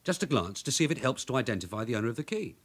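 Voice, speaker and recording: Shrewd London detective sergeant, lively delivery. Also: male voice, medium pitch, racing, husky. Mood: firm, official. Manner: matter-of-fact, dry, quietly authoritative. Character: shrewd, hard-nosed long-serving London police detective sergeant. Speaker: actor in the lead role. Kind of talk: radio drama acting.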